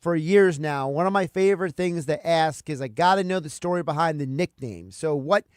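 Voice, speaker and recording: A high-pitched male host speaks in a dry, close voice. He leads the interview with long, meandering questions and a chatty, geeky streak. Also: moderate pace, clean tone, no room sound. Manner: chatty, rambling questions, self-described geek. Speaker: radio host. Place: home studio.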